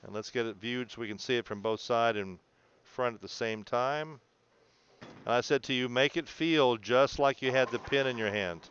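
A man's voice: medium pitch, moderate pace, fairly bright tone, highs cut off, a little hiss or hum. A man coaching a student through video analysis in an encouraging, explanatory way, often checking in. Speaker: bowling coach.

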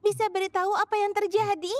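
A woman voicing a young girl witch in a children's cartoon, earnest, caring and expressive. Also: very high voice, fast, dry recording. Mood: shocked, worried. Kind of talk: children's animated cartoon dub. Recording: music behind the voice.

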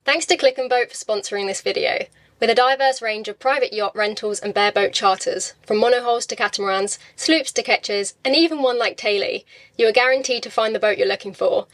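A woman's voice: a little high, a little fast, a bit bright, no echo. A woman giving upbeat scripted voice-over narration for a sailing video. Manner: upbeat storytelling. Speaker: channel narrator.

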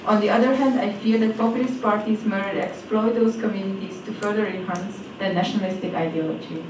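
A young woman from Transylvania reading a prepared speech from cards at a podium microphone on an auditorium stage, with her phrases falling in pitch at their ends. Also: fairly even intonation, fast talker, recorded from a distance. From Transylvania, Romania. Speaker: speaker at a presentation event. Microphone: stand mic at a podium. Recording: echoey hall, voice from off-mic, audible noise.

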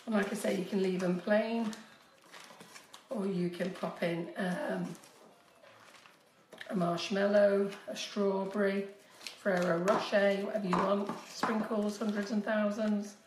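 A woman in her fifties giving a live cake decorating lesson from her home kitchen, talking chattily to viewers, her voice a little squeaky from a cold. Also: flat delivery, unhurried, long pauses, far from the mic, noisy background. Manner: chatty, instructive, addresses viewers directly. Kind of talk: live-streamed baking demonstration. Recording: echoey kitchen, voice often off-mic.

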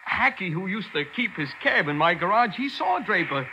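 An actor playing a frightened, pleading small-time garage owner in a 1940s radio drama, stammering and whiny with slangy grammar. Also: male voice, high-pitched, big pitch swings, moderate pace. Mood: nervous. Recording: hissy 1940s radio broadcast.

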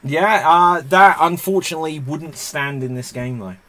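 A man's voice: fairly high pitch, normal speed, fairly bright tone. A man hosting an online improv comedy game over a video call, wearing a headset and announcing the rounds in an upbeat way.

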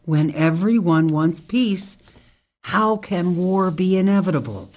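A woman playing a tough ex-military forest ranger in a radio drama, speaking deliberately and earnestly. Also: fairly low pitch, animated, a little mellow. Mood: solemn, earnest. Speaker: actor in an amateur radio drama.

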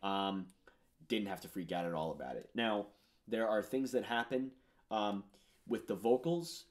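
Rambling thirties man, low voice. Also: measured pace. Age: 30s. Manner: rambling, filler-heavy, enthusiastic. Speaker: musician discussing his album. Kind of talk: casual commentary on his own songs. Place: home studio with purple lighting.

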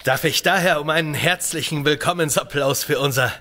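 A man's voice: on the high side, fairly quick, pushed and bright. An actor playing the genial male leader of a research crew in an audio drama. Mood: formal welcome.

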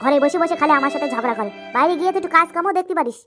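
Shrill, nagging cartoon wife voiced by a woman. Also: expressive, very fast. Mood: angry nagging. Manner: taunting, scolding. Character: sharp-tongued, nagging village wife. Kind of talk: comic cartoon dialogue. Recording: background music throughout.

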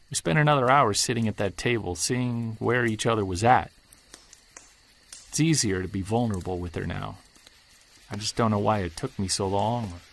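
An actor playing an earnest, soft-spoken Bigfoot investigator who narrates his own story in an audio drama. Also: medium pitch, gravelly.

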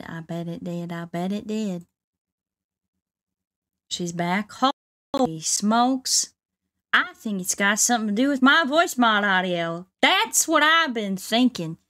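A woman's voice: on the low side, sharp tone. A woman with a put-on Southern US drawl chatting casually to livestream viewers in a folksy, exclamatory country-bumpkin way while gaming. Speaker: livestream host playing a video game. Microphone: close streaming microphone.

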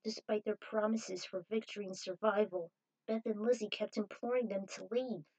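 A woman's voice: medium pitch, darkish tone, poor audio. A woman reading a scripted adventure story aloud as narrator, in a dramatic manner.

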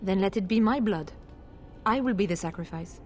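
A woman's voice: medium pitch, normal speed, a little hiss or hum, close to an everyday voice. A woman playing an anguished, resolute noblewoman mother in a fantasy video game, grave and pleading in formal speech.

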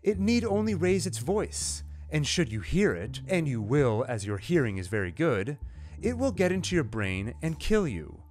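A grave android mother from a science fiction comic, as a male narrator puts her on. She speaks formally and ominously, with measured warnings and a pitch that rises and falls widely. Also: very animated, darkish tone.

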